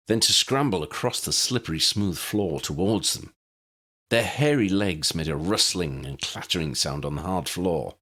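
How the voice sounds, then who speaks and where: A man reading a story as a dramatic, expressive audiobook narrator. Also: low-pitched.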